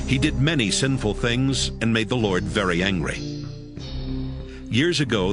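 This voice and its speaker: A man narrating scripture from a script in a measured storytelling manner. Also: medium pitch. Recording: music bed behind narration.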